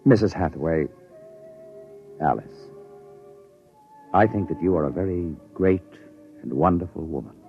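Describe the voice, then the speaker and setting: A man acting in a 1950s radio drama as a compassionate, authoritative captain, earnest and deliberate, on a muffled old recording. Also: low voice, lively intonation, gravelly, music in the background, heavily acted. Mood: grave, gentle. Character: compassionate, authoritative male spaceship captain, grave and understanding.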